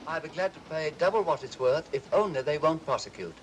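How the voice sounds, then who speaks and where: An actor playing a sheepish, apologetic petty thief in a 1940s film. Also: male voice, brisk, natural voice, rough recording. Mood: sheepish, contrite. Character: meek, sheepish male petty thief confessing. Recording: old film soundtrack, faint train rumble.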